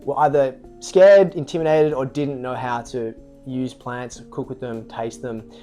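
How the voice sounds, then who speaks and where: A man's voice: medium pitch, fairly even intonation, a little slow, mellow, faint music behind. Upbeat Australian man cooking. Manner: upbeat, enthusiastic, playful. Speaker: chef and restaurant co-founder. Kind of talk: cooking demonstration chat. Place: private restaurant kitchen.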